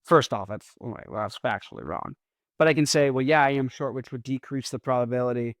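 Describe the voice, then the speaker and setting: A man's voice: mid-range voice, dry recording. Guy rambling on his podcast, mumbly, s's kinda drag, dry close sound. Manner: mumbles words, slightly drawn-out S sounds; rambling, self-deprecating. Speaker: podcast host. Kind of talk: casual solo monologue.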